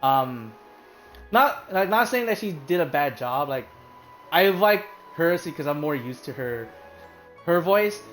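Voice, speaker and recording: A man's voice: on the high side, animated, measured pace, a bit bright. Casual male streamer chatting over gameplay, filler-heavy and loose, with game music faint behind. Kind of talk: casual commentary while playing a game. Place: hot room at home with a fan running.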